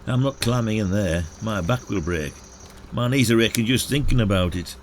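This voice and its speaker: A man's voice: on the low side, normal speed, a little hiss or hum, natural voice. A man with a British accent playing an ageing, friendly, stubborn retired detective in an audio drama, chatting in a chummy, mildly grumbling way. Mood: brisk, matter-of-fact.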